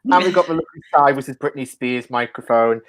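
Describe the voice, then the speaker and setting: A man's voice: medium pitch, fast talker, fairly bright tone. A man hosting a casual panel chat, putting questions to his guests and steering the conversation. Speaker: talk show host.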